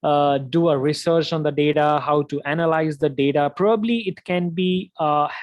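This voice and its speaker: A man's voice: on the high side, normal speed, lo-fi sound. A man with an Indian accent hosting a live webinar as a coding instructor, reading out and answering viewer questions in a reassuring way, often tagging on "right?" and "you know".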